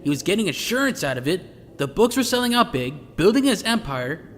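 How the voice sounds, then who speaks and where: A man playing an eager, excitable police detective in an audio drama. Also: sits high, a little fast, slight background noise. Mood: excited, insistent.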